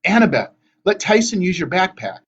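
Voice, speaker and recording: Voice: medium pitch, animated, quick pace, breathy edge, lo-fi sound, pitched above the performer's own voice. A narrator voicing an exasperated, sarcastic young teenage boy hero who blurts things out incredulously in a storybook reading. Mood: determined.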